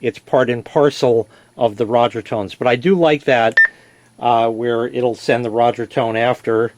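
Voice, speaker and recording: A man's voice: mid-range voice, fairly even intonation, unhurried, noisy background. A man giving a casual, plain-spoken product demonstration, explaining as he goes and correcting himself. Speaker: product reviewer. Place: indoors in a basement.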